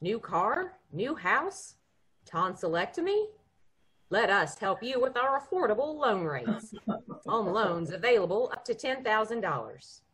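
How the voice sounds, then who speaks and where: A woman performs a reassuring spokeswoman in an old-time radio commercial. Her voice is husky and highly animated, with a folksy sales manner. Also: unhurried, exaggerated voice. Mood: reassuring, salesy. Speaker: commercial announcer. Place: lamp-lit living room at home. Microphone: video call.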